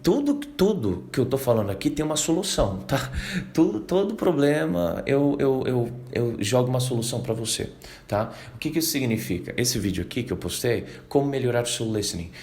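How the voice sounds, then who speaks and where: A man with a Brazilian Portuguese accent giving a casual video lesson on learning English, lively, acting out learners' reactions and reading English lines slowly. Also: mid-range voice. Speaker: English teacher.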